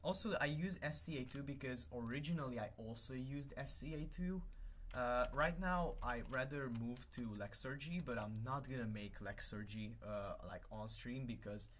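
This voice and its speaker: A man's voice: mid-range voice, normal speed, a bit bright, slight background noise. A young man whose first language is Hungarian, chatting casually on a live stream while he works, rambling and correcting himself often with many fillers. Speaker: livestreamer.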